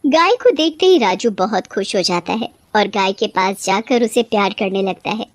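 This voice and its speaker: A woman's voice: mid-range voice, expressive, moderate pace, no echo. A woman storyteller reading a scripted children's story as narrator.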